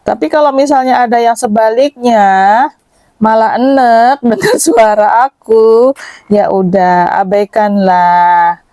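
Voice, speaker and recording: A woman with a slightly shrill voice, chatting casually and modestly to her viewers in a voice-over as a makeup artist. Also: slow, mellow, no echo. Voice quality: shrill, thin. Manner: self-deprecating, chatty, addresses viewers as friends. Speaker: makeup artist and channel host. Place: dubbed voice-over, dry close recording.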